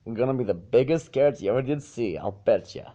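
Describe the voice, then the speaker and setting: A man voicing a big, bashful male farm pony, gruff and folksy. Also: medium pitch, expressive, fast talker, mellow, muffled recording, natural voice. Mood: gruff, friendly.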